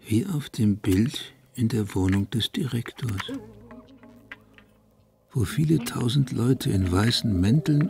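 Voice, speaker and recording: An actor playing a deranged, vengeful madman in a German radio play, hushed and husky, savoring his cruel fantasies word by word. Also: mid-range voice, noisy background, exaggerated voice. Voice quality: husky, gravelly, breathy whisper.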